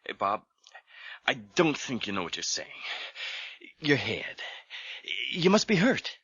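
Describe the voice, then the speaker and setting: An actor playing an excitable, concerned friend of a troubled man in a radio drama. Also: mid-range voice, slow pace, breathy edge, no echo, heavily acted. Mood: concerned, soothing. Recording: noisy, dull old radio drama recording.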